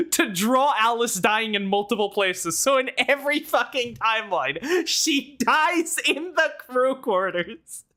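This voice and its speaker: A man's voice: very high voice, pushed and bright. Rambling, swearing gaming commentator man. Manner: rambling, swears often, stammers and restarts, laughs a lot. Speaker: let's play host. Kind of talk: casual gameplay commentary.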